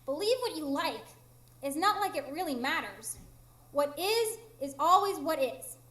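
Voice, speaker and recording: A woman's voice: high voice, expressive, measured pace, fairly bright tone. A woman playing a tiny, mischievous, blunt fairy with a childlike, teasing manner in a live radio drama. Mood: blunt, matter-of-fact. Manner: childlike, teasing, matter-of-fact. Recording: echoey room, live stage recording.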